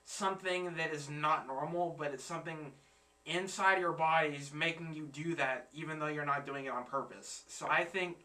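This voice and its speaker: A man's voice: on the high side, normal speed, sharp tone, recorded from a distance. A man in his twenties hosting a reaction video, chatting casually and searching for his words. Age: 20s. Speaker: reaction channel host. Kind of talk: casual chat.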